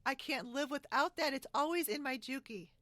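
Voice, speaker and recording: A woman's voice: high-pitched, moderate pace, pushed and bright, dry recording. A woman hosting a casual video podcast over a video call, talking in a chatty way and often laughing as she goes. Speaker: podcast host. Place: split-screen video call, recorded dry.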